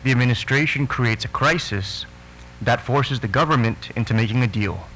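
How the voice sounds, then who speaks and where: A man speaking as a senator, addressing a public hearing in measured political remarks. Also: medium pitch, fairly bright tone, rough recording. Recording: faint noise and hum.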